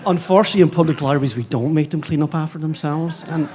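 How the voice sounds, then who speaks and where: An Irish man speaking as a librarian on a conference panel, rambling and animated, with many fillers and self-mocking asides. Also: fairly high pitch, fast, a little hiss or hum. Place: conference stage.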